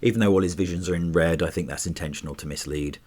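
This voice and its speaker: A man giving a casual spoken review of a TV episode. His voice is stuffy and congested, and he talks in a chatty, measured way with small restarts. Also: low-pitched, darkish tone.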